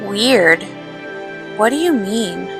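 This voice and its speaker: A woman voicing an earnest, bubbly young pony princess in a cartoon fan dub, girlish and expressive. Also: medium pitch, slow pace, vocal fry, over music. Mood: puzzled, chatty.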